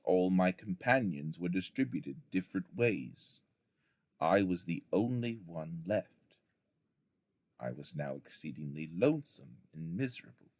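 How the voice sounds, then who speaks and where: Slow, expressive man reading a storybook. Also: sits low, no echo. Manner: measured, deliberate phrasing with dramatic emphasis. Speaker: teacher reading a book aloud to children.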